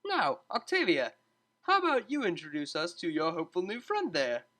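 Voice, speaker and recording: An upbeat stallion tutor acted by a woman in a storybook reading. He is breezy and coaxing, with a lively, swooping pitch and bright tone. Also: normal speed, heavily acted. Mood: encouraging. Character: cheerful, meddling male pony psychology tutor, unflappable.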